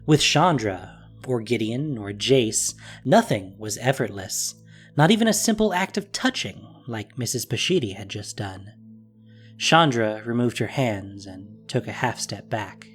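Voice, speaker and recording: A man's voice: mid-range voice, lively intonation, unhurried. A man reading scripted story narration as the narrator of an audio drama. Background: music.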